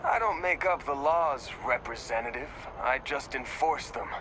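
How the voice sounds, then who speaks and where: An actor playing a smug, officious city security officer, deliberate and dismissive, in video game dialogue. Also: male voice, mid-range voice, lively intonation, noisy background, close to an everyday voice.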